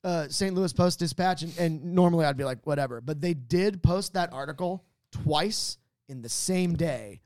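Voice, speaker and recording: A man's voice: on the high side, normal speed, darkish tone, no room sound, studio quality. A man co-hosting a comedy podcast, chatting casually with a joking, exaggerating manner. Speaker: podcast co-host.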